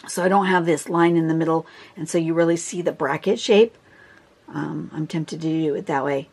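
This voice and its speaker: A woman with a hoarse voice from a cold and laryngitis, talking casually through a drawing tutorial, chatty and rambling with fillers and throat clearing. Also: low voice, fairly even intonation, normal speed, a little mellow. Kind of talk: casual instructional talk. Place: indoors at a table, filming a phone screen.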